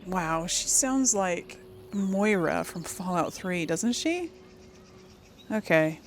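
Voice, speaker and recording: A woman's voice: fairly low pitch, animated, slow pace, slight background noise. A woman hosting a gaming channel, giving casual, informal let's play commentary and thinking aloud.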